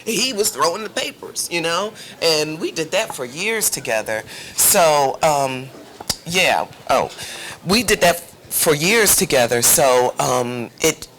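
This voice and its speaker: A woman telling personal stories as an interview guest, with halting, repetitive phrasing. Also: sits low, lively intonation, fairly bright tone, a little hiss or hum.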